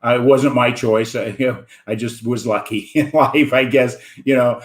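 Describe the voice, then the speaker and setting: A man's voice: mid-range voice, fairly even intonation, moderate pace, roomy sound. An American man hosting an online interview show, talking in a rambling way with many fillers. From United States. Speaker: host. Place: home in Wisconsin.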